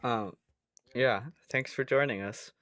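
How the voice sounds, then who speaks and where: A man's voice: mid-range voice, slow pace, a bit bright, dry recording. A man chatting casually as a podcast co-host, with a joking, giggly manner. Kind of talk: casual chat.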